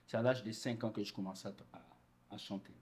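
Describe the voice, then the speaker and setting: A man with a somewhat low voice talks through his past as a gospel singer in a relaxed, earnest way. He is from Congo and often restarts his sentences as he recalls details. His speech is casual and unhurried. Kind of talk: casual interview answers.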